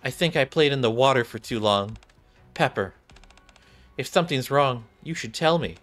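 A man's voice: mid-range voice, animated, moderate pace, a bit bright. Young man reading game dialogue over music. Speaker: game streamer reading visual novel dialogue aloud. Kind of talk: read-aloud of game dialogue. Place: small indoor room.

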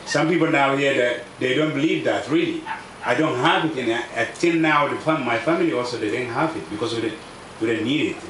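A man in his thirties from South Sudan, speaking halting non-native English with many fillers as he gives a personal account outdoors under a tent. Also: mid-range voice, fairly bright tone. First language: a South Sudanese language. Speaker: refugee speaker. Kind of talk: personal testimony at a public event. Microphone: table microphone on stand. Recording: echoey, distant outdoor recording with noise.

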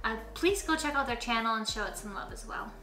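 A woman's voice: a little high, animated, quick pace, pushed and bright, roomy sound, soft background music. A woman in her thirties from the United States, chatting in a friendly, explanatory way while reacting to a video at home. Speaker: reaction channel co-host. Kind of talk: casual reaction chat. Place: living room, indoors.

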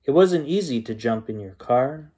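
A man's voice: mid-range voice, expressive, normal speed, mellow, breathy edge. A man reading a children's storybook and voicing a cheerful, literal-minded woman helper, lifting his voice a little.

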